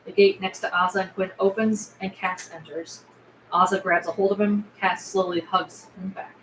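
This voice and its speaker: A woman with a mid-pitched voice narrates screenplay directions. She is recorded in a roomy, echoing space with faint noise behind her. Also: steady tone, moderate pace, a bit bright. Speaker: reader of stage directions. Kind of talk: scripted narration at a table read. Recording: echoey room, faint background noise.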